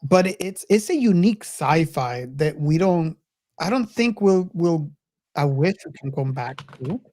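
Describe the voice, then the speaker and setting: A middle-aged woman with a low-pitched voice hosts a casual film chat. She speaks in a reflective, halting way, circling back over phrases, and the recording is close and dry. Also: lively intonation, dark tone, no echo. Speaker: podcast host.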